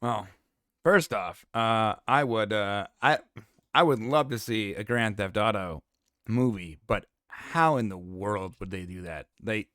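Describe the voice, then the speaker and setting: A man's voice: lowish voice, a little slow, no echo, studio quality. A man co-hosting a casual podcast, joking around and rambling with fillers. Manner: jokey, animated, hesitant with fillers. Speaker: podcast co-host. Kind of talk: casual chat.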